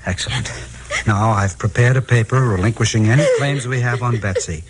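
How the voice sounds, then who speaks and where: An actor playing a formal, businesslike, impatient swindler in a 1950s radio crime drama. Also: male voice, mid-range voice, close to an everyday voice. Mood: brisk, formal. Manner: formal, brisk. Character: brisk, smooth-talking male swindler, businesslike and impatient. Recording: old radio recording, crowd-like background.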